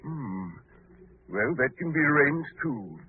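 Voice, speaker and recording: An actor playing an eager, obliging undertaker's assistant in an old-time radio drama. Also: male voice, medium pitch, very animated, slow pace. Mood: eager to please. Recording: muffled, noisy old radio recording.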